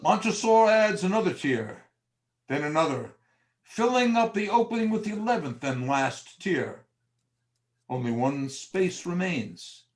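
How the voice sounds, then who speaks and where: Guy narrator for a gothic horror play, higher voice, really lively pitch, dramatic read. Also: measured pace.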